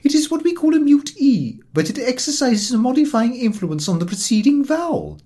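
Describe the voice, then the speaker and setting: A man's voice: very high-pitched, sing-song, a little fast, dark tone, voice pushed up, heavily acted. A man voicing a prim, reproachful English lady teacher in an audiobook, fussy and instructive. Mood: reproachful, insistent.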